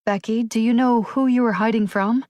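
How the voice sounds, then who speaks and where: A woman's voice: on the high side, steady tone, moderate pace, no echo, voice pushed up, close to an everyday voice. A woman voicing a tough, protective female police officer who gives commanding orders in an audiobook thriller. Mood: soothing, worried. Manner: urgent, forceful commands. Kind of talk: audiobook character dialogue.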